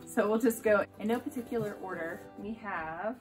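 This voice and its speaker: An American woman in her thirties talks casually to the camera as a vlog host. Her voice sits at an average pitch. She is recorded in a room at home, with music clearly audible behind her. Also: normal speed. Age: 30s. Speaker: homeschooling mother and vlog host. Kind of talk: casual unboxing vlog chat. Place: small furnished room at home, indoors.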